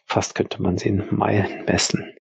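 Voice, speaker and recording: Husky, gravelly low-voiced male narrator. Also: level tone, no echo, muffled recording. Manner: terse, weighty phrasing with pauses. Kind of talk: dramatic scripted audio drama narration.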